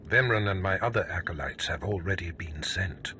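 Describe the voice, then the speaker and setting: An actor playing a stern, scheming Sith lord in a video game, speaking in a measured, imperious, menacing manner. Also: male voice, deep voice, sharp tone, slight background noise. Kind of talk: scripted video game drama.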